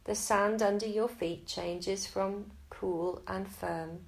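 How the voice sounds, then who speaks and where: A woman guiding a Yoga Nidra relaxation in a slow, soft and soothing way. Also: mid-range voice, steady tone, gravelly, rough recording. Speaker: meditation guide.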